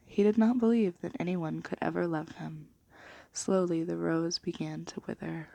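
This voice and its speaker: A woman speaking in a gentle, unhurried, hushed and breathy ASMR style, soft-spoken and half whispered, as for bedtime stories. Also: fairly low pitch, fairly even intonation, no echo. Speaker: ASMR video host and storybook reader.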